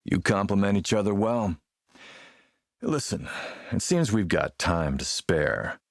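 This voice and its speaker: A menacing military warlord from an action game, a man who speaks slowly and with calculated polish. His commanding voice sits on the low side and is recorded close and dry. He is suave and threatening. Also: very animated, no room sound, heavily acted. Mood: measured, businesslike. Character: smooth, menacing military warlord and arms dealer. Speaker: villain character in an action role-playing game. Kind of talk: acted video game dialogue.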